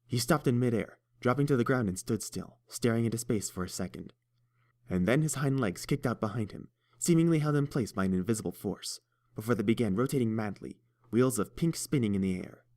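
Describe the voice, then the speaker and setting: A man narrating a fan-fiction storybook in a steady, measured way. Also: mid-range voice. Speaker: audiobook reader.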